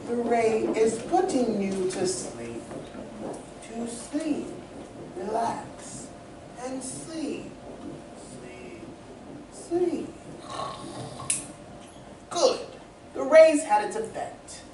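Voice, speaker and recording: A woman's voice: fairly high pitch, very animated, full character voice. A woman playing a composed, soothing great scientist in an old-time radio serial. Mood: hypnotic, absorbed. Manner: soothing, unhurried, reassuring. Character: Doctor Huer, composed great scientist of the future. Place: community theatre stage. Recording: roomy stage, distant mic, faint noise.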